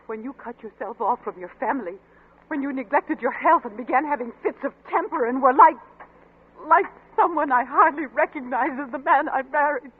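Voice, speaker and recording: A woman acting a distraught, accusing wife in a 1940s radio suspense play, pleading emphatically in a husky voice. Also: sits high, normal speed, natural voice. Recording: thin, narrow-band old radio recording.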